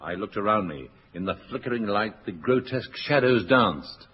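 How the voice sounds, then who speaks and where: A British man playing a deadpan adventurer in a 1960s radio comedy, telling his tale in measured, mock-dramatic fashion. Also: fairly low pitch, breathy edge. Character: deadpan male adventurer narrating his own detective tale. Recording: very noisy, dull 1960s radio recording.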